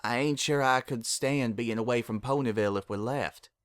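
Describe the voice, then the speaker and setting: A man's voice: mid-range voice, moderate pace, a bit bright, smooth, no echo, clean studio sound, natural voice. A man voicing a stubborn, anxious female farm pony with folksy country phrasing. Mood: worried.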